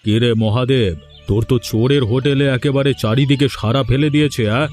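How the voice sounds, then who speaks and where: A man's voice: mid-range voice, steady tone, a little fast, darkish tone, soft background music, lightly acted. A man with a West Bengal accent voicing a benevolent, self-important village landlord in a cartoon, speaking with patronizing authority. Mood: patronizing approval. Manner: patronizing, approving, declamatory. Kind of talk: cartoon voice acting.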